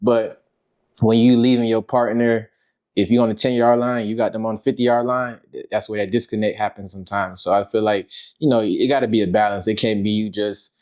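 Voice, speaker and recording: Low-voiced man reflecting calmly. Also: fairly quick. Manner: reflective, relaxed, informal speech. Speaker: podcast guest. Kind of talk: casual heartfelt conversation.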